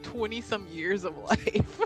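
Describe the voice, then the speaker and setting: A woman casually narrating her character's actions as a player in a tabletop roleplaying game, in a halting, giggly way. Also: medium pitch, music in the background.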